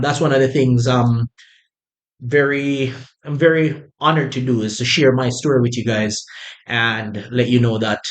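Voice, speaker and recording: A man hosting a relaxed podcast, welcoming guests he calls his brothers. His voice sits at an ordinary middle pitch. He speaks in a friendly, conversational way, with plenty of "you know" and "um" along the way. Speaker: podcast host.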